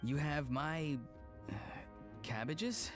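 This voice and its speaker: Easygoing game ally, music behind. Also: male voice, on the high side, lively intonation, slow pace, fairly bright tone. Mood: hesitant.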